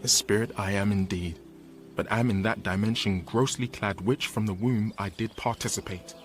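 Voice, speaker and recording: A man's voice: on the low side, steady tone, normal speed, natural voice. An actor playing an ardent young nobleman and long-lost twin in a radio Shakespeare drama, heartfelt and earnest. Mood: awed wonder. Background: music.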